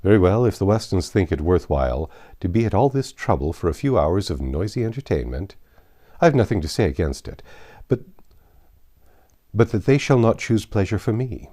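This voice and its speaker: Man reading as deep, dismissive Regency gentleman. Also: sing-song, normal speed. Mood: dry, dismissive. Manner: dry, dismissive. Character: grumbling, indifferent English gentleman of Regency times. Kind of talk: acted dialogue within a novel reading.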